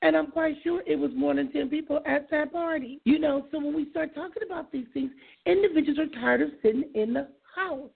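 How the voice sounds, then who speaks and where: A woman with a hoarse voice hosting a call-in radio talk show, musing aloud about patience and inviting callers to phone in. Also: sits high, a little fast. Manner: reflective, conversational, invites callers. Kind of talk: solo talk radio monologue. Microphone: iPad. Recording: phone-like lo-fi line.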